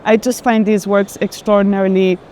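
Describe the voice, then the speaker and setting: Mellow Colombian woman, thoughtful phrasing. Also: mid-range voice, level tone, brisk, low-quality recording. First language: Spanish. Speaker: art advisor. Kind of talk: conversation during a walking art fair tour. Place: art fair floor. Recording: faint urban ambient noise.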